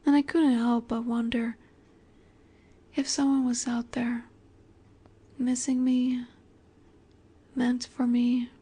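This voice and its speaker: A woman playing an ageless, possessive vampire in a romantic audio roleplay, speaking softly and breathily, often near a whisper, with a wistful, elegant, gently commanding manner. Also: on the high side, little pitch movement, slow, long pauses, breathy edge. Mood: nostalgic, melancholy. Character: ageless female vampire boss's daughter, wistful, tender, possessive.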